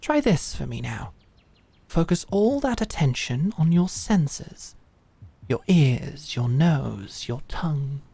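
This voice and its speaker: A woman acting in an audio drama as a calm, commanding knight who mentors with measured, deliberate instruction and encouragement. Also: sits low, vocal fry, husky, dry recording, exaggerated voice. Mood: calm, guiding. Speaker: voice actor.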